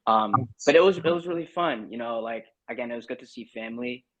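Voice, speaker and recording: Relaxed young man from Barbados chatting. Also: medium pitch, quick pace, highs cut off. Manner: relaxed, rambling with many fillers. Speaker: podcast guest. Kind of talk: casual chat.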